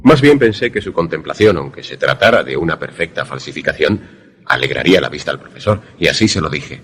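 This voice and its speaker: A man plays a polite, sceptical visitor recounting an eerie tale in a radio play. His voice is deep and husky. He speaks in a measured, literary way with a formal turn of phrase. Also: expressive, fairly bright tone, heavily acted. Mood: measured, suspenseful. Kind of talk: suspense radio play narration and dialogue.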